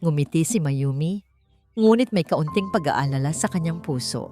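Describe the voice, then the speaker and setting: Woman storyteller, lowish voice, scripted drama narration, music playing behind her. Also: animated, normal speed, dark tone.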